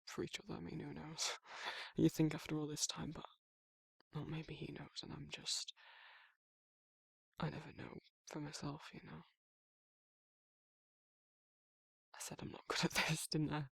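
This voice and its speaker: A man's voice: fairly high pitch, fairly bright tone, no echo, full character voice. A man playing a protective, awkward werewolf in an intimate ASMR roleplay, speaking breathily and hesitantly, often near a whisper. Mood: awkward, sincere. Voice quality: husky, breathy, gravelly, often dropping to a whisper. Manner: hesitant, rambling, bashful, informal. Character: protective, awkward, soft-hearted male werewolf confiding in a friend.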